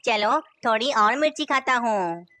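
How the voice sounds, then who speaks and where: A woman's voice: fairly high pitch, lively intonation, unhurried, pushed and bright. A woman playing a carefree, foolish male parrot king in a children's cartoon. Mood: delighted.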